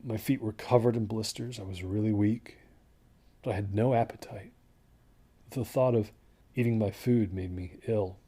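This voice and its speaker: A man playing a shaken, weary survivor of a desert hike in an audio drama, recalling it haltingly and in hushed tones. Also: lowish voice, steady tone, husky. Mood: weary, reflective. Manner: halting, hushed, trailing into near-whispers. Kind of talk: audio drama monologue, first-person recollection.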